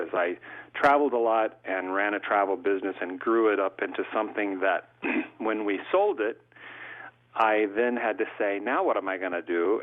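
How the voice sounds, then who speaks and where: A middle-aged man from California, a court reporter and interview guest, telling long stories in a thoughtful, conversational way. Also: fairly low pitch. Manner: thoughtful, long storytelling answers. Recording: tinny phone-like line.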